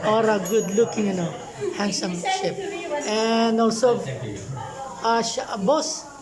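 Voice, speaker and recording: A woman's voice: a little high, takes it slowly. A woman with a Filipino accent chatting casually for a vlog, often repeating words as she greets people and shows them around. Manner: chatty, repeats words, mixes Tagalog and English. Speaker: vlogger, baking student. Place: busy school rooms. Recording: loud busy crowd chatter behind. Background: loud chatter of people.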